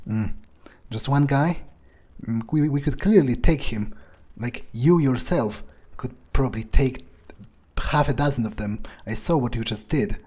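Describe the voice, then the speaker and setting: A man playing a haughty, irritable fledgling vampire in an in-character video game playthrough, grumbling in a halting, self-important way. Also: medium pitch, mellow, husky. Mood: reluctant, sardonic. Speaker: gamer roleplaying his character. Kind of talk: in-character let's play monologue.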